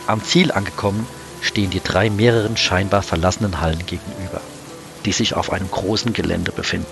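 Deep-voiced male narrator over music. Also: fairly even intonation, brisk, gravelly. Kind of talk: scripted dramatic narration.

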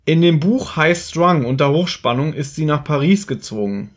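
Guy podcast host, kinda husky n a bit high, explaining stuff in a casual recap chat. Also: lively intonation, normal speed. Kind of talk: casual chat recapping an audio drama episode.

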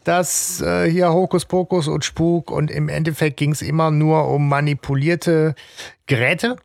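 Gravelly, enthusiastic man chatting on a podcast. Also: a little high, steady tone, measured pace, no room sound, studio quality. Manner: enthusiastic, hesitant with many fillers. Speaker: podcast co-host. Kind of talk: casual chat about a radio drama.

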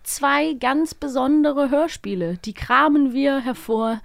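A woman hosting a podcast in playful, casual banter with crisp articulation. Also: high voice, slow pace, no room sound. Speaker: podcast host, professional voice speaker.

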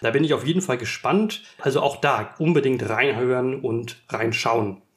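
A man's voice: mid-range voice, moderate pace, a bit bright. A man co-hosting a podcast in a conversational style. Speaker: podcast co-host.

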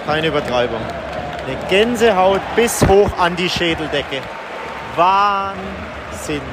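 A man reporting live and casually from a football match as a podcast host, speaking in an excited, raised voice. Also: sits high, takes it slowly. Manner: excited, raised voice over stadium noise. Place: outdoors in a football stadium stand. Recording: loud stadium crowd noise, outdoor field recording. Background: loud cheering football crowd.

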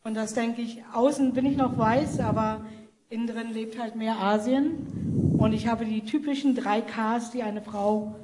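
A woman gives her faith testimony softly, and her voice drops away at the end of her sentences. Her pitch sits a little high. There is a faint noise floor. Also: fairly even intonation, unhurried. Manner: soft-spoken, trailing off quietly. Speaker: missionary to Cambodia. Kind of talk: personal testimony in an interview.

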